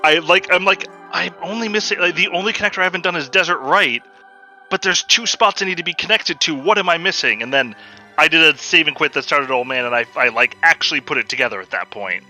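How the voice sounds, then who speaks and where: A man with a loud voice, a racer chatting casually after a race and retelling his own thoughts with animation. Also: on the high side, fast talker, faint music behind. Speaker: tournament racer. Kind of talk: casual post-race chat.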